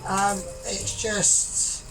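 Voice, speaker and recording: A woman with a croaky voice, chatting casually while she cooks in her home kitchen, rambling and tagging "right?" onto her sentences as she judges a bake-off. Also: medium pitch, flat delivery, drawn-out words. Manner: rambling, keeps asking "right?", repeats herself. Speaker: home cook and vlogger. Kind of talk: casual cooking commentary, judging a bake-off. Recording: distant mic with clear music behind. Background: music.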